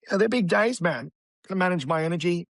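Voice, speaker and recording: Raspy man, brisk and blunt. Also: sits high, no room sound, clean studio sound. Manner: energetic, blunt, matey ("man"). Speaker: guest real estate agent. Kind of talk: casual interview talk.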